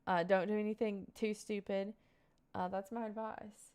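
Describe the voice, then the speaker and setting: This is a young woman in her twenties talking to her viewers on a live stream. She answers chat questions in a casual, meandering way, full of fillers, and turns sincere when she offers life advice. Her voice is smooth and clear, sitting at an ordinary middle pitch. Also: level tone, moderate pace, darkish tone. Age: 20s. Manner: rambling, filler-heavy, earnest advice.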